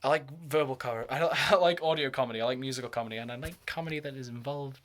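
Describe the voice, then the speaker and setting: A man's voice: medium pitch, rapid-fire, a bit bright. A man talking to camera in a loose, meandering way. His voice sits in an ordinary middle register, but it keeps sliding into put-on accents and odd character voices. He laughs often as he goes. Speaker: vlogger. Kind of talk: rambling solo vlog.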